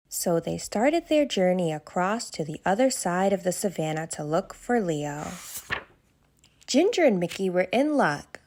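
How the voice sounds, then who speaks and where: Woman reading kids bedtime story, lowish creaky voice, super up and down. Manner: expressive, child-directed. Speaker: children's storyteller. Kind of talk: read-aloud bedtime story narration.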